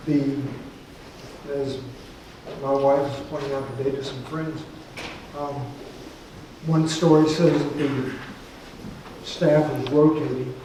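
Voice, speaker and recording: A man's voice: medium pitch, distant mic, background noise. Older man lecturing in an echoey hall. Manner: halting, many pauses and fillers. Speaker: guest lecturer. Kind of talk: lecture with audience Q&A.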